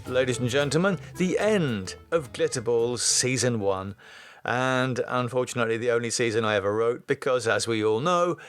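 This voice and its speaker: A man's voice: mid-range voice, no echo. A middle-aged British man hosting a podcast, reading his own writing and talking casually to listeners. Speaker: podcast host and writer. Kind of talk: scripted screenplay reading and casual podcast talk.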